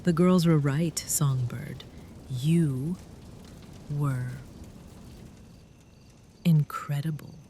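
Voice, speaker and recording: A woman playing a gentle, awed religious sister in a horror audio drama, soft-spoken and halting. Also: low voice, a little hiss or hum.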